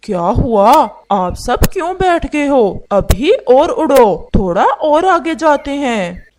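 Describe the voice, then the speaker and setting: A woman's voice: high-pitched, big pitch swings, takes it slowly, a little mellow, a little hiss or hum, full character voice. Woman playing a boastful rich old crow. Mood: bossy. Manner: scornful, brags about wealth, denies getting old. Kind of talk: Hindi cartoon voice acting.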